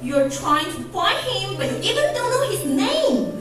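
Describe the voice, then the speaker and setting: A woman's voice: extremely high pitch, very animated, unhurried, heavily acted. A young woman playing a concerned, questioning daughter in a student radio play, recorded in an echoey hall. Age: young adult. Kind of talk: student radio drama acting. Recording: very echoey hall, distant mic, music behind.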